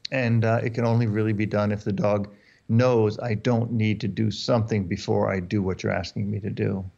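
German-born man, low voice, dull call audio. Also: flat delivery, moderate pace, creaky, a little mellow. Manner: explanatory, repeats words and restarts often. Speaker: dog trainer. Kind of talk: interview guest on a video call. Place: dark room indoors. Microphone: headphones and desk mic on a video call.

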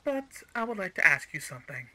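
An actor playing a smug, menacing male crime boss in an audio roleplay, speaking in a mocking, condescending and deliberate way. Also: high voice, very animated, sharp tone, full character voice. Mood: teasing, familiar. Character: smug, menacing male crime-organization villain, coolly coercive. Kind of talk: scripted audio roleplay villain acting.

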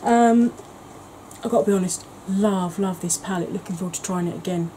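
A woman's voice: medium pitch, brisk, slight background noise, low-quality recording. A woman, chatting casually and wandering off topic in a home makeup vlog. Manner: rambling, self-mocking, soft-spoken at times. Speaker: makeup vlogger. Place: indoor home room, kitchen.

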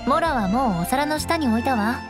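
A proud young woman knight, an outcast noble in an anime game. Her voice is high yet composed, with haughty, dry delivery. Music plays clearly behind her. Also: lively intonation, fairly quick. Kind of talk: Japanese anime game voice acting. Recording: studio voice over game music.

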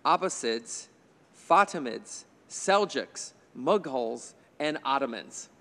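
A man's voice: medium pitch, expressive, slow pace, fairly bright tone, in a large hall. A man in his fifties moderating a quiz bowl from a studio desk mic, reading questions with chatty, jokey asides. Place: indoor TV studio stage. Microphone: desk microphone on a stand.

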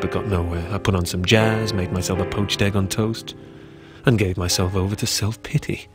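A man playing a lonely, self-mocking artist, reading his letters aloud in an introspective, measured way for an audiobook. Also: low-pitched, natural voice. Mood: gloomy, self-mocking.

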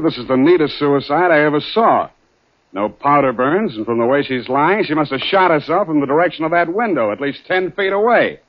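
A man playing a wry, flirtatious hard-boiled private detective in a 1940s radio drama, deadpan and wisecracking. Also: on the high side, dry recording. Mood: probing, skeptical. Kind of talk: 1940s radio acting, first-person crime narration. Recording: muffled 1940s radio broadcast, music behind.

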